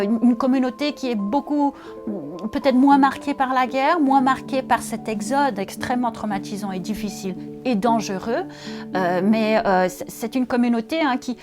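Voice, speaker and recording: A woman expert speaking thoughtfully and hesitantly in a documentary interview. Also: fairly high pitch. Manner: thoughtful, hesitant, many fillers and restarts. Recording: music behind.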